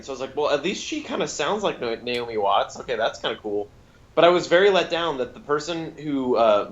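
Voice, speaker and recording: A man's voice: mid-range voice, fairly bright tone. A man chats as the co-host of a review show. His delivery is casual and conversational. The recording is somewhat dull and lo-fi. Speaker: podcast co-host.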